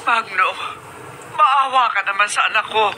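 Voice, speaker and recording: A woman's voice: mid-range voice, measured pace, close to an everyday voice. An actress playing a worried Filipino mother in a radio drama, imploring and protesting with emotion. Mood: pleading, indignant. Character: worried, pleading mother of a captive daughter. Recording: noisy radio drama recording.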